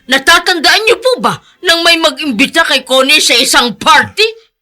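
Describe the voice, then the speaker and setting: An actress playing an earnest older nursemaid with a Filipino accent, revealing a painful secret in a radio drama. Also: female voice, high-pitched, normal speed, pushed and bright. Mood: urgent, emotional.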